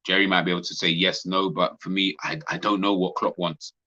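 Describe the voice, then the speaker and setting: A young man, co-hosting a casual football chat, slangy and animated with frequent laughter. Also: low-pitched, fairly quick, lo-fi sound. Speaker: podcast co-host.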